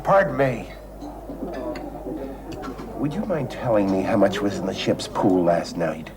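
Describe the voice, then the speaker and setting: An actor playing an insistent, middle-aged ship passenger in a TV drama, speaking bluntly. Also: male voice, on the low side, very animated, a little slow, a loud crowd. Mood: curious, guarded. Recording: very noisy old TV soundtrack, ship ambience.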